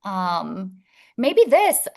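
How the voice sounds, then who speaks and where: A woman's voice: medium pitch, wildly swooping pitch, extremely slow, vocal fry, darkish tone. Woman, second-language English, casual chat, open and chatty, laughs often. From Italy. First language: Italian. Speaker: featured guest educator. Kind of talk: casual chat on a video call.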